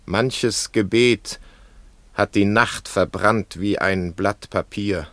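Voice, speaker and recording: A grave prophet in a poetic radio drama, speaks extremely slowly in a deep voice. He declaims with solemn emphasis, as if chanting to his people, in a dry studio sound. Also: fairly bright tone, no room sound. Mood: prophetic, insistent. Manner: incantatory, deliberate, emphatic.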